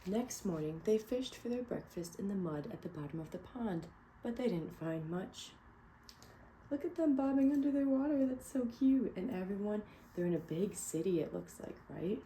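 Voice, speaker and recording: A woman's voice: medium pitch, normal speed, slight background noise, poor audio. Encouraging woman reading to kids. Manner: lively, encouraging, asks the children questions. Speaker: teacher reading to children. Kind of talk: reading a picture book aloud.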